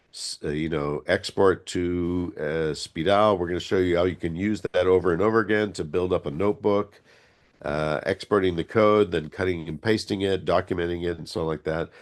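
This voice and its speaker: A man teaching an informal online software tutorial over a video call, explaining step by step with some hesitation, sounding slightly congested from a cold. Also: low voice. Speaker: workshop instructor.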